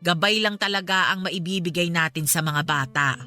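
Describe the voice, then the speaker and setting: A woman's voice: lowish voice, moderate pace, sharp tone, soft background music. A woman playing an apologetic, impulsive middle-aged Filipino mother and friend in a radio drama. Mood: conciliatory.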